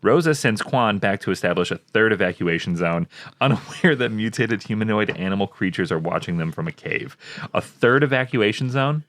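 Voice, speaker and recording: Low-pitched wisecracking man, dry sound. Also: animated, moderate pace, fairly bright tone, dry recording. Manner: profane, wisecracking. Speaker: podcast co-host. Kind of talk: casual comedic banter.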